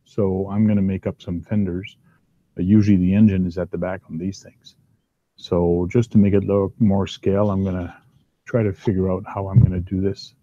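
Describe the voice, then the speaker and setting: A man's voice: low voice, flat delivery, moderate pace, dark tone, no echo, lo-fi sound. A man with a big voice hosting a casual chat while working at a hobby bench at home, rambling with lots of ums and uhs. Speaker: host. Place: downstairs workbench at home.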